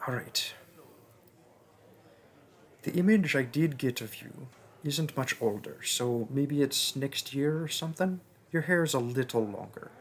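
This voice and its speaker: A man playing a cursed young seer student, slow and earnest. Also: medium pitch, lightly acted. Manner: earnest, rambling, frustrated. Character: young male seer student, friendly but bitter about his curse. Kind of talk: audio roleplay.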